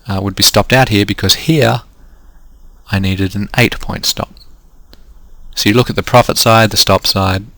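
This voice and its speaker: A man's voice: fairly low pitch, fairly even intonation, moderate pace, fairly bright tone, dry recording. A man with an Australian accent teaching a trading lesson, walking through numbers step by step and losing his voice. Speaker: trading coach. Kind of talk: screen-recorded lesson.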